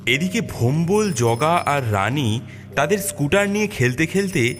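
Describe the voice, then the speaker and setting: A man's voice: a little high, animated, a little slow. A man narrating a scary cartoon story in a dramatic, suspenseful, scripted storytelling manner. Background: prominent background music.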